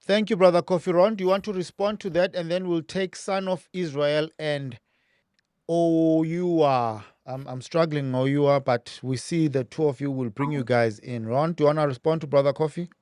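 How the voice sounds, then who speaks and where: A man hosting a live call-in stream, briskly moving callers along and handing over turns. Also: a little high, steady tone, darkish tone, clear, resonant tone, dry recording, clean studio sound. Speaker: host.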